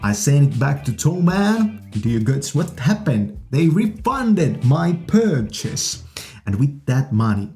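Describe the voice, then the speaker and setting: Man acting as hammy radio-show announcer: raised pitch, drawling, half-sung promo delivery, showy. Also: sing-song, slow pace, a little mellow, faint music behind, exaggerated voice. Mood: showy storytelling.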